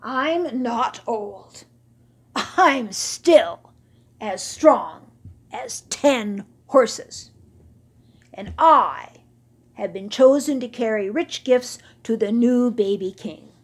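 A woman's voice: extremely high pitch, very animated, very slow, pauses often, voice pushed up, exaggerated voice. A woman voicing an old, boastful male camel who grumbles about his aches and acts grand. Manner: whiny complaining, grandly self-important.

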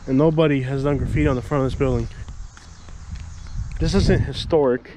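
A man in his thirties vlogging casually outdoors, chatting and thinking out loud with lots of fillers, filmed on a handheld camera. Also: medium pitch, fairly even intonation, a little fast, background noise. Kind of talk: casual walking vlog. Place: outdoors at an empty lot, open air. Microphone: handheld action camera at arm's length.

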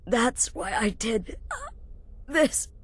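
An actress playing a weak, repentant woman who leads assassins in a video game, speaking haltingly with a husky, pained voice. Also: fairly high pitch, lively intonation, a bit bright, no echo, exaggerated voice. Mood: pleading, remorseful. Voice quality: husky, breathy, broken. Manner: halting, strained, confessional. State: weak, gasping, in pain. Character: dying repentant female leader of an assassin family. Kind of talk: dramatic video game acting.

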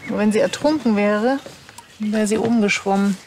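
An actress playing a matter-of-fact forensic examiner, precise and measured, in a TV crime drama with comic tone. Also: female voice, mid-range voice, darkish tone, slight background noise, natural voice. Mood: clinical, thoughtful. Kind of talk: TV crime comedy dialogue.

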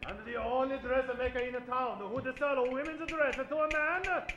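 A man playing a pompous detective general with a theatrical, deliberate delivery in an old-time radio comedy. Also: very high-pitched, steady tone, lo-fi sound. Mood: smug. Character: pompous detective general solving a murder mystery. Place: small theater stage. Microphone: shared vintage-style stand microphone. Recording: roomy live theater stage.

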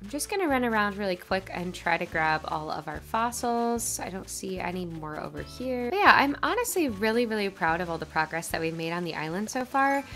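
A woman's voice: mid-range voice, big pitch swings, a little fast, few pauses, sharp tone, faint music behind. A woman with a stuffy, congested nose, chatting casually over her gameplay as a channel host. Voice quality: slightly nasal. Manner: chatty, upbeat, rambling.

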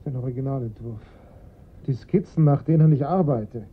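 An actor playing a strained, insistent father of a kidnapped boy in a German radio crime drama, with a husky voice. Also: medium pitch, normal speed, lightly acted. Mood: subdued, earnest. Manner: insistent, self-justifying. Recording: muffled lo-fi radio play recording.

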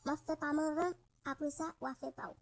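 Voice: sits high, fairly even intonation, quick pace, creaky. A performer with a Haitian accent voicing a bossy young male cartoon friend, scolding and sarcastic. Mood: bossy, grudging. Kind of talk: Haitian Creole cartoon dialogue.

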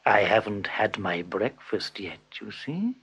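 A sly, menacing tiger, put on by a man reading a storybook. The voice purrs low and slow, sliding into husky half-whispers. Its pitch rolls lazily up and down as it schemes. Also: sing-song, exaggerated voice. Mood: falsely pleasant.

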